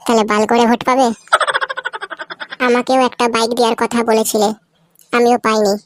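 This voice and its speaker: A woman's voice: fairly high pitch, level tone, moderate pace, lightly acted. A woman voicing a foul-mouthed, angry male villager in a cartoon, who scolds and swears constantly. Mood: grumbling. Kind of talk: cartoon comedy voice acting. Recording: noisy homemade recording.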